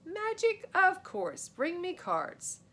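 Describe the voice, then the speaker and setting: Woman acting showy boy magician, pitched way up. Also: takes it slowly, full character voice. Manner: showy, declamatory patter. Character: boy bluffing as a grand stage magician. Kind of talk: storybook character voice. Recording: steady noise with low rumble.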